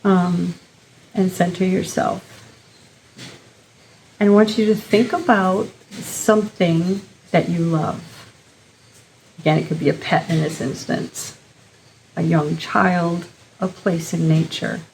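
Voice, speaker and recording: Female meditation guide, gentle and unhurried, informal instruction, noticeable vocal fry, light ambient noise. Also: fairly low pitch, steady tone, low-quality recording. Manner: gentle, unhurried, pausing between short phrases.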